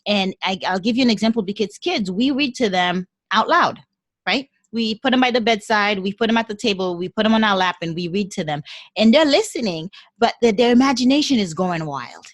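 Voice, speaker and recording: This is a Haitian-accented woman talking as a podcast guest in an animated, repeating style. Her pitch sits in the middle for a woman. The sound is dry and close, with no room echo. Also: brisk. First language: French and Haitian Creole. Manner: animated, repeats words while thinking. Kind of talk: casual interview conversation.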